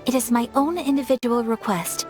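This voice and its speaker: A woman playing a refined, gentle young noblewoman in a video game, speaking formally and courteously in a measured way. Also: a little high, close to an everyday voice. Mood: polite, composed. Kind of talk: animated video game voice acting. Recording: game music behind the voice.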